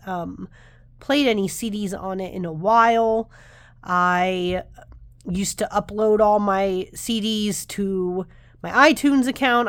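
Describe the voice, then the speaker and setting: A woman's voice: medium pitch, no echo. Thirties woman vlogging about tarot, bit nasal from flu, rambly chatty, dry close sound. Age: 30s. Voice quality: slightly nasal. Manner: chatty, rambling, self-interrupting. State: recovering from flu. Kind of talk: casual chat to camera.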